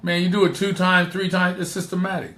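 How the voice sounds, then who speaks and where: A middle-aged man with a naturally rough voice, hosting a podcast and giving emphatic, repeated advice in casual chat. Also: high voice, level tone, fairly quick, a bit bright, roomy sound, a little hiss or hum. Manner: emphatic, repeats points for stress. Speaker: podcast host.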